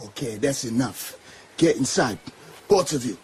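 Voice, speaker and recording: An actor playing a threatening gangster guard who barks orders in a radio drama. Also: male voice, medium pitch, expressive, moderate pace, darkish tone, slight background noise, natural voice. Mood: barking orders.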